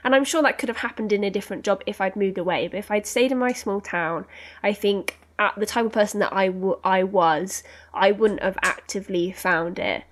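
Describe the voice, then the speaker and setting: A young woman from Bath hosting a casual podcast chat, reacting in an animated way and often restarting her sentences. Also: mid-range voice, brisk. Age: young adult.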